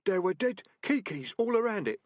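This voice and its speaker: A man's voice: sits high, sing-song, moderate pace, no echo, muffled recording, pitched above the performer's own voice. A man voicing a nervous young male rat who serves as a deputy and speaks earnestly. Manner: anxious, earnest.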